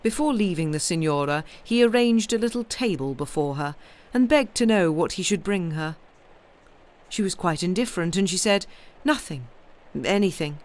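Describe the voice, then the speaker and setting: A woman reading literary fiction aloud as an expressive audiobook narrator. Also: lowish voice, moderate pace. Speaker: audiobook reader.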